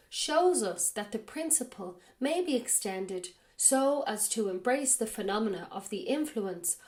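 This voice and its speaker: A woman hosting a podcast, reading a spiritual text aloud in a slow, measured way and then commenting on it, her voice a little raspy from a cold. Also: mid-range voice, darkish tone. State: has a cold. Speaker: podcast host.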